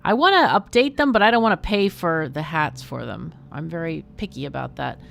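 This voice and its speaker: A woman's voice: sits low, lively intonation, brisk, vocal fry, a bit bright, a little hiss or hum. A woman hosting a casual gaming livestream, chatty and playful as she comments on the game and puts questions to her guests. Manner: chatty, playful, laughs often. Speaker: livestream host and gamer.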